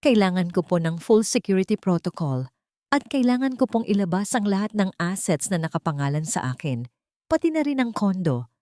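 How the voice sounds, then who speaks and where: A woman's voice: medium pitch, very animated, fairly quick, mellow, dry recording, natural voice. A young secret heiress, acted by a woman narrator in an audio love drama. She is polite, guarded and resolute, with a dry studio sound. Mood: urgent, firm.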